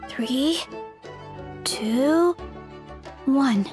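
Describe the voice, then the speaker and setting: A woman voicing an earnest, gentle and somewhat timid young heroine in a video game. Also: sits high, very slow, soft background music. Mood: earnest, admiring.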